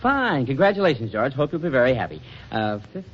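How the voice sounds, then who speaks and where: A man's voice: medium pitch, very animated, fast, natural voice. A wry, put-upon husband played by a man from a 1940s radio comedy. He grumbles dryly but stays good-humored. The vintage recording sounds dull, with faint noise behind him. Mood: irritated. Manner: dry, sardonic.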